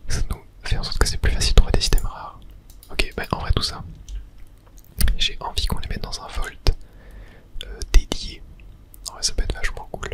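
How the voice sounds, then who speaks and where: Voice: normal speed, long pauses, a bit bright. Someone whispers casual ASMR game commentary, thinking aloud close to the microphone. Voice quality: whispering throughout. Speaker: gaming video host.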